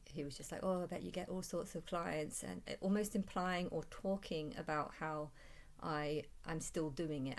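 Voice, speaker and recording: A woman with a low-pitched voice talks through a rambling personal vlog. She speaks haltingly, repeating and restarting her phrases as she recounts things in the first person. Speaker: vlogger.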